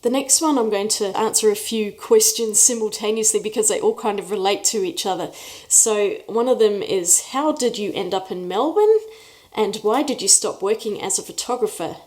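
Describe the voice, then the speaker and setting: A woman's voice: medium pitch, darkish tone. A woman, chatting casually in a Q&A vlog and laughing often as she tells stories. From New Zealand. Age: 40s. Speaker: art YouTuber. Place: room away from her usual studio.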